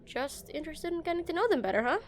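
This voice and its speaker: A woman's voice: sits high, lively intonation, fast, soft background music. A woman playing an awkward, shy female game developer on a dinner date in a romantic audio roleplay, flustered and hesitant. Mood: gentle, curious. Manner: flustered, hesitant, stammering, giggly.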